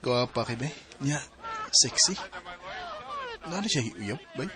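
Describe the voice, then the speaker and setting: A man's voice: medium pitch, animated, slow pace, a crowd in the background. A man playing a shy, smitten young employee who speaks hesitantly in a radio drama, with a Filipino Cebuano accent. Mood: casual, defensive. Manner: hesitant, stammering. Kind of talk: Philippine radio drama acting.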